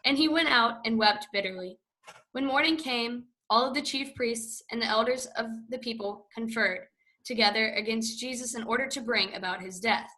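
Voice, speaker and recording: A woman narrates scripture in a church, reading steadily and with care. Her voice sits fairly high and sounds bright and clear. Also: low-quality recording.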